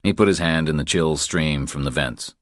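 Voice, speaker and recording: Deep male audiobook narrator. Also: moderate pace, no echo, clean studio sound. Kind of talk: scripted thriller narration.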